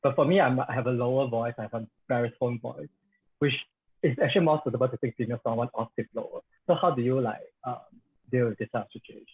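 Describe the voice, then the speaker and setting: A man's voice: medium pitch, fast talker, breathy edge. A man from Singapore, a student asking a question on a video call, hesitant and checking with "right?". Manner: hesitant, asks with "right?" tags. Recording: muffled phone-like line over a call.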